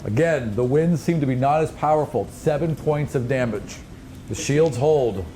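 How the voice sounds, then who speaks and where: A man's voice: mid-range voice, moderate pace, dark tone, slight background noise. A man narrating a tabletop fantasy game as game master, moving conversationally between rules talk and scene description.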